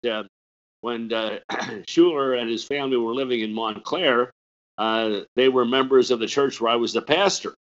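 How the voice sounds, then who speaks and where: Older male host, hoarse and raspy, halting delivery, muffled lo-fi audio. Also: medium pitch, a bit bright. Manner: hesitant, many fillers and restarts. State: hoarse from a cold. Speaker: podcast host. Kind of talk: casual interview chat.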